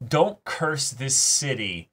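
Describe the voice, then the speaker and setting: A man's voice: mid-range voice, sing-song, slow, fairly bright tone. A man in a casual discussion as a reaction show host, reflective and hesitant as he searches for words. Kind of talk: casual discussion of an anime episode.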